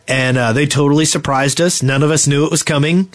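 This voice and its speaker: A man's voice: fairly high pitch, steady tone, brisk. A man co-hosting a podcast, talking in casual chat. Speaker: podcast co-host.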